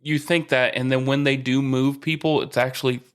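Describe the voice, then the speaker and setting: A man's voice: medium pitch, little pitch movement, normal speed, smooth. A man co-hosting a podcast, chatting casually about baseball, teasing his partner and steering the talk with questions. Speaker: podcast co-host. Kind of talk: casual sports chat.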